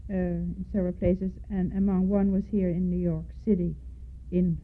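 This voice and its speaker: A woman's voice: fairly low pitch, little pitch movement, moderate pace, slight background noise. An older woman from Denmark with a Danish accent, recalling her life in an oral history interview and spelling out names letter by letter. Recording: tinny phone-like lo-fi tape.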